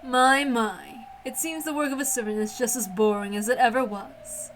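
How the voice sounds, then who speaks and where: A woman's voice: a little high, moderate pace, a little hiss or hum, close to an everyday voice, poor audio. A woman voicing a mysterious, condescending witch with theatrical, formal speech for a visual novel reading. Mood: mocking pity. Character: mysterious golden butterfly witch, condescending, welcoming.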